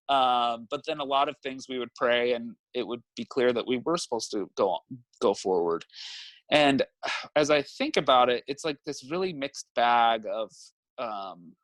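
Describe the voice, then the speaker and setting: Guest, male, late 30s; open, thoughtful talker with easy laughs, mid-range pitch. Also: a little slow, a bit bright, no room sound, poor audio. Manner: reflective, laughs often, swears casually. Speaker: guest, family therapist. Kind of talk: candid conversational interview.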